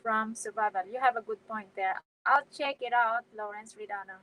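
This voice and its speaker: A woman's voice: on the high side, moderate pace, a bit bright, poor audio. A middle-aged woman hosting a casual live stream from home, chatting with viewers and reading their comments, hesitant, with many fillers and restarts.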